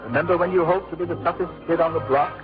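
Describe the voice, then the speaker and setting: A man with an American accent and slightly high voice narrates nostalgically, like a scripted radio storyteller. Music plays clearly behind him on a dull old recording. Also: steady tone, very fast. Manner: wistful storytelling.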